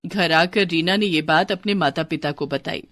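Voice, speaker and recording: A woman's voice: sits low, normal speed, a bit bright, slight background noise. A woman storyteller reading scripted narration of a moral bedtime story.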